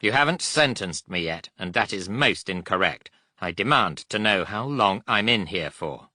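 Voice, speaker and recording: A man's voice: on the low side, expressive, normal speed, sharp tone, no room sound, studio quality, exaggerated voice. A man voicing a defiant, demanding captive British air force officer, speaking in an insistent, indignant, clipped way.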